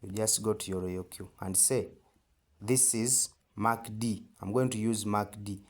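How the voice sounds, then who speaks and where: A man's voice: on the low side, steady tone, a little slow. A man with a Ugandan accent giving an informal step-by-step tutorial, emphatic and repetitive, often checking in with short questions. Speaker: trading tutor.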